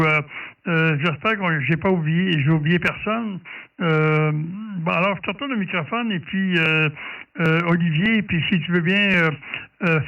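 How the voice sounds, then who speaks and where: A man with a Quebec French accent chatting casually and hesitantly as an amateur radio operator over a radio link. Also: high voice. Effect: ham radio transmission. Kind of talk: casual ham radio exchange. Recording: distant, muffled shortwave radio signal.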